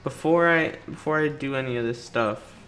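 A man's voice: mid-range voice, normal speed, a bit bright. A man doing casual, playful gameplay commentary, chattering in slang and talking to the game's characters. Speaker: gaming YouTuber doing a playthrough. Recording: light game music behind. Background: video game music.

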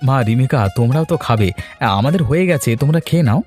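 A man's voice: medium pitch, expressive, fast talker, a little mellow, soft background music, close to an everyday voice. A man voicing a courteous, food-loving young son-in-law in a cartoon, eager and respectful with his elders. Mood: earnest, respectful. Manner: courteous, earnest. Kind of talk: Bengali cartoon dialogue dub.

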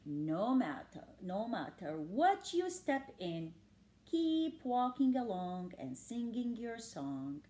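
An older woman reads a children's picture book aloud in a fairly high, very animated voice. She is exaggerated and sing-song, addressing small listeners directly. The room adds noticeable echo around her. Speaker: storyteller reading to young children. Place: indoors in a living room. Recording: roomy home recording.

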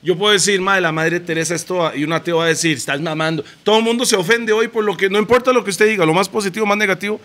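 A man's voice: high voice, rapid-fire, few pauses, fairly bright tone. A man hosting a casual podcast, chatty and slangy, tossing in English words. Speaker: podcast host. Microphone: studio mic with headphones.

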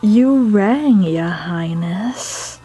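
A woman's voice: mid-range voice, expressive, very slow, warm tone, slightly rough, full character voice, rough recording. A woman voicing a smug, sassy cartoon villain in an amateur comic dub. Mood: sardonic, tired.

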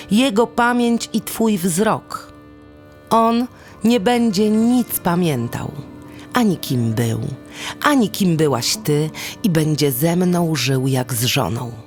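A woman voicing a cruel, gloating witch in a folk tale, taunting coldly and deliberately. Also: sits low. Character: cruel, gloating wicked witch who steals happiness.

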